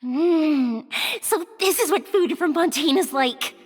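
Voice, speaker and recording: An actress voicing a cheerful, eager young woman in game dialogue. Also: slow pace. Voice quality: high, lively, eager. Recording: reverberant with music behind.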